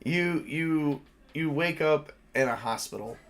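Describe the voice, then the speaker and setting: A man's voice: fairly high pitch, unhurried. A man acting as game master, narrating a tabletop game casually and dramatizing events in informal words.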